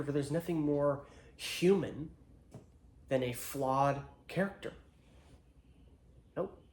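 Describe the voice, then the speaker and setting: A man's voice: on the high side, lightly acted. Man acting as earnest attorney in echoey room. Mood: earnest, insistent. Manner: deliberate, rhetorical, dramatic pauses. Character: earnest male attorney, proud ex-Marine, solemnly addressing jury. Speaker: actor. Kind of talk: dramatic courtroom monologue.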